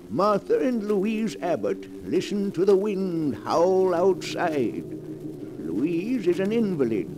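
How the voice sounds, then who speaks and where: A man's voice: sits high, poor audio. A man narrating a 1940s radio mystery in a dramatic, ominous, scripted manner. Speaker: host and narrator. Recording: vintage 1940s radio broadcast.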